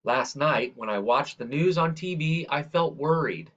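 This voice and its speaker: A man reading a children's story aloud in a measured, expressive way, drawing out the ends of phrases. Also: fairly high pitch, muffled recording. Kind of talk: read-aloud scripted narration.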